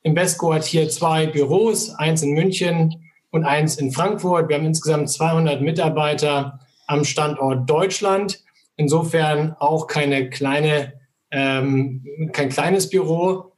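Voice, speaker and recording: A middle-aged man answering interview questions about his firm, measured and full of fillers. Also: a little high. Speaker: asset manager guest.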